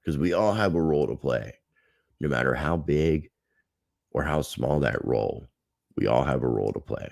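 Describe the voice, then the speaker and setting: Very deep, creaky-voiced man hosting a livestream. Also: lively intonation, moderate pace, darkish tone, no echo, clean studio sound. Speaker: livestream host. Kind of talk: casual livestream chat to viewers.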